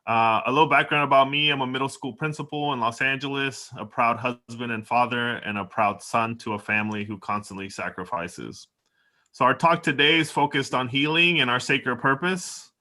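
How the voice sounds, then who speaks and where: A man from El Salvador opens a panel discussion and speaks in an earnest, reflective way, as if reading from prepared notes. His voice has a bright, forward edge, and his pitch sits in the middle range. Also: moderate pace. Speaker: moderator, doctoral student. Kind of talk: prepared opening remarks.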